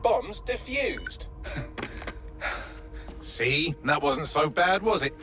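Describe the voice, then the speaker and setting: A man's voice: on the high side, sing-song, drawn-out words, exaggerated voice. A man with a British accent voicing a snarky, unflappable AI assistant in a video game, dry and glib. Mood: smug, matter-of-fact. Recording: game music behind the voice.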